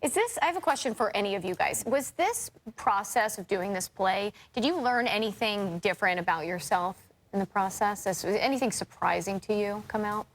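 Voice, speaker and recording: A woman's voice: medium pitch, moderate pace. Woman hosting a TV morning interview. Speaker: TV morning show host. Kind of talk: upbeat broadcast interview.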